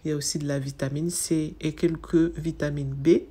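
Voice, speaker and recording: A woman's voice: low-pitched, fairly even intonation, moderate pace, warm tone, husky. A woman from Mayotte narrating informative asides with enthusiasm, listing facts with casual fillers. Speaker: wellness channel host. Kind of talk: informative voice-over.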